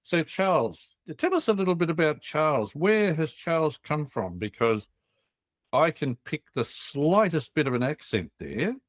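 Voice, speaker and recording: A man's voice: fairly high pitch, big pitch swings, unhurried, no room sound, clean studio sound. A man hosting a business podcast, interviewing in an upbeat, jovial way. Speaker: podcast host. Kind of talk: casual interview chat.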